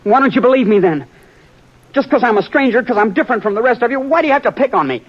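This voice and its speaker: A man's voice: extremely high pitch, lively intonation, quick pace. An actor playing a troubled, frightened boy on a ranch, pleading and defensive, in a 1950s radio drama. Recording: dull old radio broadcast.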